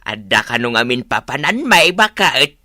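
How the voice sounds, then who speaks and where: A man's voice: mid-range voice, very animated, normal speed, sharp tone, no room sound, clean studio sound. A man with an Ilocano accent playing a stubborn, smug old husband who teases his wife in a radio comedy-drama. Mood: teasing, defiant.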